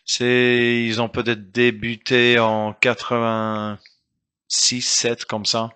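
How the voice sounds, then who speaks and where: Slow, bright-voiced man. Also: mid-range voice, completely flat delivery, smooth. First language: German. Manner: hesitant, searching for words with many restarts. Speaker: guest musician. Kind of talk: casual interview talk.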